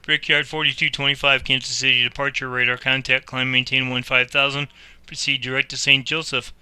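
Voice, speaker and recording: A man's voice: mid-range voice, little pitch movement, brisk, pushed and bright, smooth, no echo. A man playing air traffic controller in a simulator, giving procedural instructions and commenting on his own game. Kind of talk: simulator gameplay commentary and radio phraseology.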